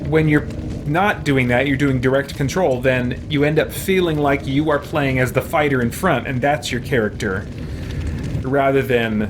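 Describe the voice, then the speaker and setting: A man's voice: medium pitch, normal speed, noisy background. A man giving casual, opinionated let's-play commentary as a gaming streamer, musing in a conversational way. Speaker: gaming streamer playing a role-playing game.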